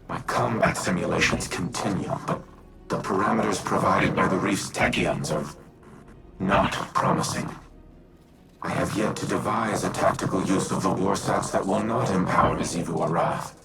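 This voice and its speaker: A man playing an ancient, calculating war machine AI in a game, with formal, clinical, measured phrasing and grave self-doubt. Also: low voice, creaky, poor audio. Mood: doubting, analytical. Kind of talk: scripted game dialogue.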